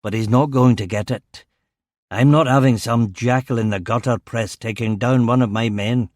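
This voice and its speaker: A man voicing a blunt, burly older police superintendent in an audiobook, with a gravelly Glaswegian accent. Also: medium pitch, fairly even intonation, moderate pace, a little mellow, dry recording, clean studio sound, natural voice. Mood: gruff, protective. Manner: blunt, authoritative. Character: burly, angry older police chief superintendent, commanding but protective. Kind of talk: audiobook character dialogue.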